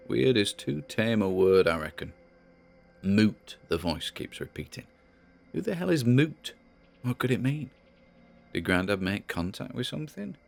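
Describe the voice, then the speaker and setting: A man's voice: fairly low pitch, gravelly. A British man playing an obsessed amateur investigator, musing conversationally into an audio diary in a drama. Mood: puzzled, weary. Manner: conversational, musing, muttered asides.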